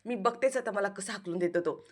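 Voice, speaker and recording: A woman voicing a proud, sharp-tongued young woman who scolds and taunts. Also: medium pitch, brisk, close to an everyday voice. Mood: scolding.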